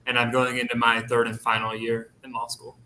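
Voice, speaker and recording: A man's voice: mid-range voice, completely flat delivery, brisk, bright, forceful tone. A man from Georgia, a law student intern, giving a brief introduction from far off the mic. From Georgia, United States. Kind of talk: panel introduction. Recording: distant mic, faint hiss.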